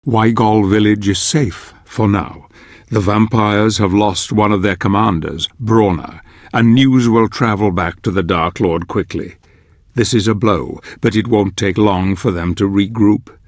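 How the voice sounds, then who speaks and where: Low, solemn fantasy chronicler. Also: male voice, fairly even intonation, dry recording, studio quality. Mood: solemn, grave. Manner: measured, solemn, theatrical. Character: solemn, grave male chronicler of a hero's quest. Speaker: narrator. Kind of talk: scripted story narration in a fantasy game.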